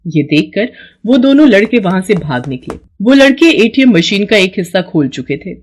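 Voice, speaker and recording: A woman narrating a scripted children's story in an expressive storytelling manner. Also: mid-range voice, moderate pace, a little hiss or hum.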